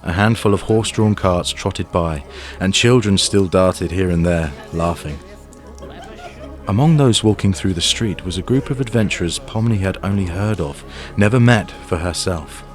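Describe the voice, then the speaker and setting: Male narrator for fantasy audio drama; low register, scripted storytelling over soft music. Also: moderate pace.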